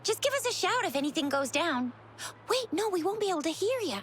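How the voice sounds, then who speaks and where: A woman playing a lively, informal young female companion in a video game, chatty and breezy. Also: very high-pitched, brisk, slight background noise, exaggerated voice. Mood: playful, animated. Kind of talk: scripted video game dialogue.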